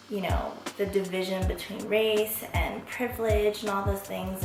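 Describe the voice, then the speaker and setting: Woman, twenties, from Las Vegas. Vlog host, frank and reflective, gravelly fry. Echoey room. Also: medium pitch. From Las Vegas, USA. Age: 20s. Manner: candid and reflective, casual swearing. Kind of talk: casual vlog monologue. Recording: echoey room with background music.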